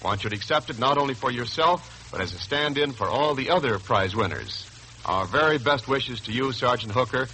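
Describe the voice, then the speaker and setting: A man's voice: mid-range voice, animated, a little fast, bright, forceful tone, slight background noise, rough recording. An American man announcing in scripted 1940s radio style, emphatic, formal and polished, on an old broadcast. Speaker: radio announcer and sponsor spokesman.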